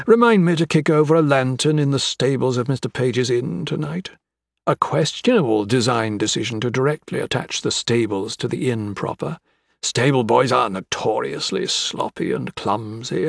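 A man voicing an older gentleman villain in an audiobook, with florid, mock-courteous, taunting speech. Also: mid-range voice, moderate pace, gravelly, dry recording, clean studio sound. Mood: mocking menace. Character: menacing, theatrical old gentleman crime boss, mocking and sentimental. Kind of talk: dramatic audiobook character acting.

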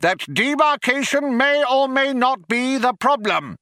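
A man's voice: extremely high pitch, expressive, a little slow, pushed and bright, dry recording, studio quality, pitched above the performer's own voice, heavily acted. A man voicing a hot-headed younger philosopher who bawls absurd demands with great emphasis. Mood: outraged, bawling. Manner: bawling, emphatic.

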